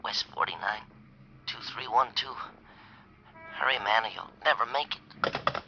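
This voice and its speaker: An actor playing a dying, streetwise American musician in a 1950s radio drama, slangy, bitter and fading. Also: male voice, mid-range voice, measured pace, pushed and bright, soft background music. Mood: desperate. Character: dying streetwise male trumpet player, bitter and dreamy. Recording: muffled, noisy old radio recording.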